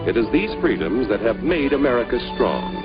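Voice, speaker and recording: A man's voice: medium pitch, sing-song, fairly quick, lightly acted. A man giving earnest, solemn scripted narration for a vintage patriotic film. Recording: dull vintage film soundtrack under loud music.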